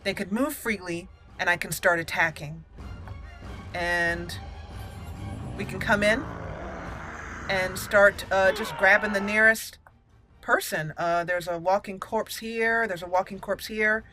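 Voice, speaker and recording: A woman hosts a gameplay video in a bright, forward voice. She chats casually through her moves step by step, with a faint bed of music behind her. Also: mid-range voice, steady tone, measured pace, long pauses. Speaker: video game let's play host.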